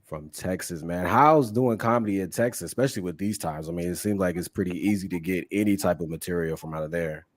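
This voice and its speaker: A man hosting a casual podcast, from the northern US, asking relaxed, slangy questions and laughing often. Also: low-pitched, fast talker, hardly any pauses. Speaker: podcast host. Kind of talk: casual interview chat.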